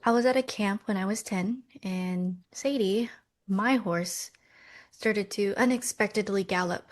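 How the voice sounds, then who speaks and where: Woman playing slow, hesitant young heroine. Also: mid-range voice, close to an everyday voice. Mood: guarded. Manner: hesitant, halting. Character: hesitant, nervous millennial American woman, guarded and wistful. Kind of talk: table read of a romcom script.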